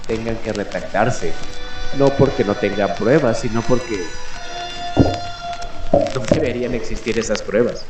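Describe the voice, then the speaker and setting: A man hosting a late-night radio show, delivering a reflective, explanatory monologue with deliberate pauses in an announcer persona. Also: fairly low pitch. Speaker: host of a late-night mystery radio program. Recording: roomy echo with music behind. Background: music.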